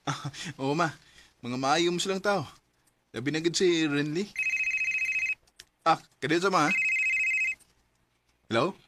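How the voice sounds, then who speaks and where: A man's voice: a little high, a bit bright, a little hiss or hum. Hesitant young Cebuano man in radio drama. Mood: friendly. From Philippines. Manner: hesitant, halting.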